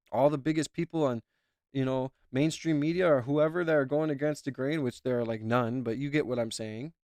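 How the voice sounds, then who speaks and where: A man's voice: medium pitch, dry recording, clean studio sound. A man commenting as a YouTuber, talking in a rambling, emphatic way and repeating himself. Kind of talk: casual reaction commentary.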